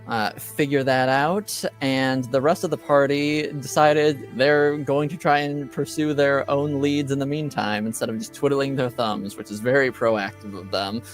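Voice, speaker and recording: A man hosting a tabletop roleplaying game as game master, recapping casually and haltingly as he searches for words. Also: medium pitch, fairly even intonation, soft background music.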